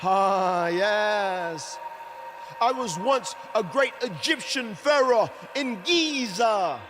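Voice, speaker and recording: High-voiced, grandiose cosmic mystic. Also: male voice, animated, music in the background, full character voice. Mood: mystical, grandiose. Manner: theatrical, stately, drawn-out delivery. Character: eccentric mystic man, grandiose and otherworldly, seeking the cosmos. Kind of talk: video game cutscene acting.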